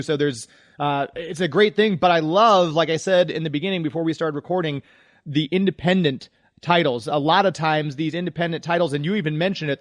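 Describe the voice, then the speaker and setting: Male radio host, upbeat and chatty, slightly high register, close dry sound. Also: dry recording. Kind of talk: interviewing and reading sponsor spots.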